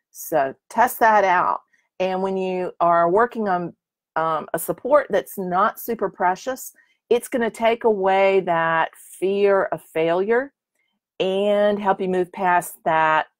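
Woman in her fifties, art teacher on a live stream, chatty and encouraging, slightly worn voice, lowish pitch, dry close sound. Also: dry recording. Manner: chatty, encouraging, answers comments by name. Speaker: painting teacher. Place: small room with curtained window, indoors.

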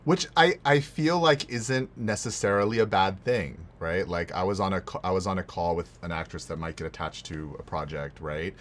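A man's voice: low voice, moderate pace, few pauses. A man interviewing a friend in a casual, informal conversation, often checking in with the listener as he talks. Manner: informal, animated, tags phrases with "right?". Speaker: podcast host, interviewer. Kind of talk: casual chat among friends.

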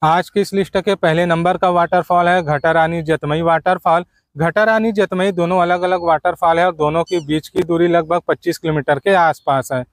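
A man's voice: on the high side, fairly even intonation, normal speed, dry recording. A man from Chhattisgarh in India, narrating a scripted travel guide and addressing viewers directly as friends.

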